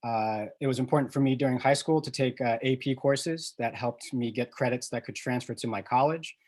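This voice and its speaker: A man's voice: medium pitch, fairly quick, a little mellow. Easygoing man on a video call. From New York, US. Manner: easygoing, with hesitant fillers. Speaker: panelist.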